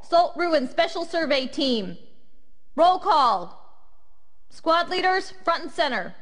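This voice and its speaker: Stern female army commander for a game: high, slow, wide-swinging orders in a reverberant hall. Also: expressive, a bit bright, exaggerated voice. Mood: commanding. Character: commanding female military officer barking drill orders. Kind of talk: video game voice acting.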